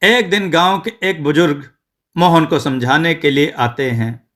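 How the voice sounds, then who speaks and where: Slow, bright-voiced male storyteller. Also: on the high side. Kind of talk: scripted moral story narration.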